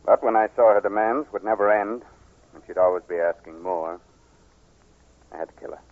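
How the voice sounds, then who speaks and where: An actor playing a grave, guilt-laden older surgeon, measured and solemn, in 1940s radio drama style. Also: male voice, fairly low pitch, natural voice. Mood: solemn confession. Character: grave older male surgeon, a guilty but principled murderer. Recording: muffled 1940s radio recording.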